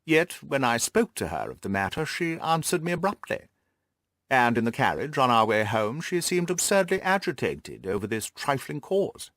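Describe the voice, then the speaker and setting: A male reader acting a stuffy, haughty lord from a classic audiobook. He speaks formally and at length, full of self-importance, with lively swings of pitch in a close, dry recording. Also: normal speed, no room sound. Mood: dismissive, uneasy. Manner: pompous, formal, long-winded. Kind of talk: audiobook character dialogue.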